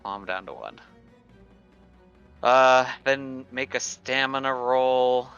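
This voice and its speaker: Man, tabletop gamer, casual talk, bright edge, dull lo-fi sound with faint music. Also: medium pitch, slow pace, smooth. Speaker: player in a tabletop game. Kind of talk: casual game-table chat. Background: background music.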